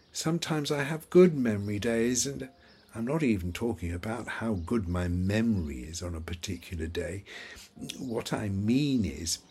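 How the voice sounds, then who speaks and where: An actor playing an elderly, forgetful father in an audio play, confused and wistful, halting and searching for his words. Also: lowish voice, gravelly, a little mellow, husky, exaggerated voice. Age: older. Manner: halting, searching, repeats himself.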